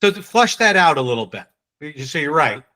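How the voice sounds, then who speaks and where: A man with a New England accent leading a live online sales training, quizzing and teasing his audience in a brisk way. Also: a little high, sing-song, bright, forceful tone.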